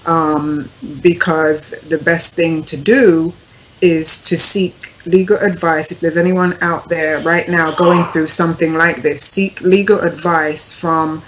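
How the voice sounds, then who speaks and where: A woman with a fairly low voice speaks as a panelist on a talk show. She talks informally but carefully, explaining points as she goes. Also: steady tone, slow, a little hiss or hum, low-quality recording.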